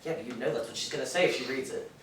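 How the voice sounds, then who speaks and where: A man's voice: medium pitch, racing, lightly acted. Timid, self-doubting male writer, played by a man, hesitant delivery, stage play, distant noisy pickup. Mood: self-deprecating, doubtful. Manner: hesitant, stammering, apologetic. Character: timid, self-deprecating aspiring male scriptwriter, easily flustered. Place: theater stage, distant mic. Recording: echoey stage recorded from afar, noisy.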